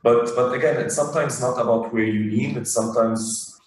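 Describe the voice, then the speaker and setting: A man's voice: medium pitch, steady tone, a little fast. Reflective man, echoey and distant. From Abu Dhabi. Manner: reflective, repeats words while thinking. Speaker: guest. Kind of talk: casual conversational interview.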